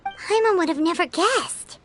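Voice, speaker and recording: A woman voicing a tiny, chatty, childlike fairy companion in a video game, with a squeaky, exclamatory delivery. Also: lively intonation, a little slow, a bit bright, soft background music, full character voice. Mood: surprised.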